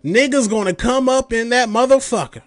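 A man's voice: very high-pitched, moderate pace, fairly bright tone, no echo. A man in his late thirties hosting a podcast, delivering a heated, profane, mocking rant. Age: 30s. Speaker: podcast host. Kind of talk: ranting commentary.

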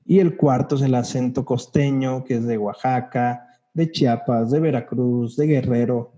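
A man from Mexico City with a Mexico City accent, co-hosting a casual chat and explaining things in a relaxed way. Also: mid-range voice, unhurried, lo-fi sound. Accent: Mexico City Spanish. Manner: explaining, relaxed, asks questions. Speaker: podcast co-host.

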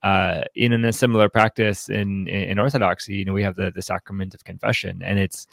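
Male podcast co-host, low register, reflective and halting book discussion, very dry recording. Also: steady tone, gravelly, fairly bright tone, dry recording. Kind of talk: conversational book commentary.

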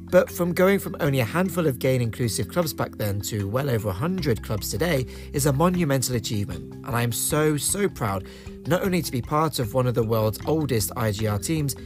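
A man hosting a podcast, giving an upbeat, enthusiastic introduction to the episode. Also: medium pitch. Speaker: podcast host. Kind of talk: podcast host intro and questions.

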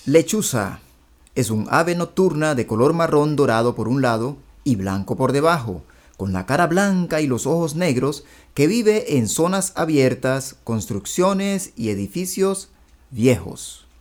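A man's voice: medium pitch, lively intonation, measured pace. A man hosting a religious program, interviewing a guest with friendly, affirming interjections. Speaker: radio show host. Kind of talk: interview, presenting segments.

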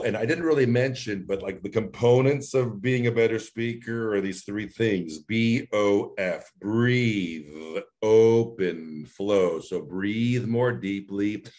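A man who works as a voice and speech coach, explaining his work in an interview with animated, demonstrative emphasis. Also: lowish voice.

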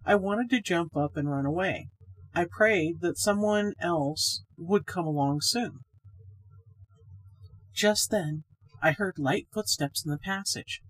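A woman's voice: on the low side, takes it slowly, low-quality recording. A woman reading a scripted ghost story aloud as the narrator. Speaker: story reader, podcast host.

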